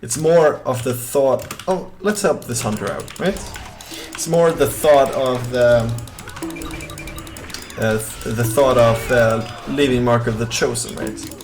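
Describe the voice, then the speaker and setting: A man's voice: medium pitch, takes it slowly, over music. Rambling Swedish-accented young man streaming. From southern Sweden. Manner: rambling, many fillers and restarts, easygoing. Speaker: game streamer. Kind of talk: casual chat while gaming and reading chat.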